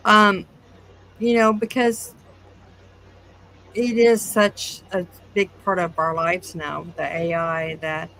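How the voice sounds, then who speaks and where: A woman co-hosting a casual interview, pausing often in the middle of her sentences. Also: fairly low pitch, level tone, creaky, fairly bright tone, rough recording. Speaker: podcast co-host. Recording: faint background noise.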